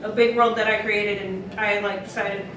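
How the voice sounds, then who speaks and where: A woman's voice: mid-range voice, little pitch movement, normal speed. A woman telling stories casually and emphatically as a creator on a convention panel. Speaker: podcast character creator and panelist. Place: convention panel room, recorded from afar. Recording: echoey noisy room, distant microphone.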